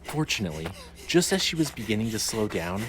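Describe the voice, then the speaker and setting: A man's voice: on the low side, very animated, fairly quick. A man narrating a scripted dramatic story as the narrator of an audio drama. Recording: faint ambient noise.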